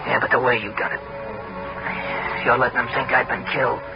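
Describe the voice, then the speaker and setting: An actor playing a dying American convict in his thirties, halting and short of breath, with rough street speech, in 1960s radio drama style. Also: medium pitch, little pitch movement, lightly acted. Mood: grateful, regretful. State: weak, struggling for breath. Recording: dull old radio recording with music.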